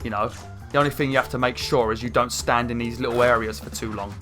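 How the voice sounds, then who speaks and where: A young man with a croaky throat, rambling through casual gameplay commentary with lots of fillers. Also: medium pitch, quick pace. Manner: rambling, chatty, many fillers, excited outbursts. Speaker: gaming channel host. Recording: faint game music behind. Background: game music.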